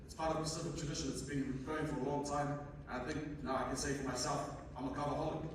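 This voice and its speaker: A young man with a Fijian accent presents research at a conference, stumbling here and there as he reads. His voice sits at a medium pitch. He is heard from far off in a heavily reverberant hall with clear background noise. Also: fairly even intonation, quick pace. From Fiji. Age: young adult. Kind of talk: conference presentation, partly read from notes. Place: indoor conference room at a university. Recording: echoey hall, distant mic, noisy room.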